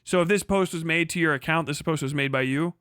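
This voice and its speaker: A man doing a persistent deposition lawyer who works steadily through his questions. The voice is bright and pitched a little high, and the sound is dry. He presses each point in a flat, procedural way. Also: fast, smooth, dry recording, close to an everyday voice. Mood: methodical. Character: methodical male lawyer questioning a witness.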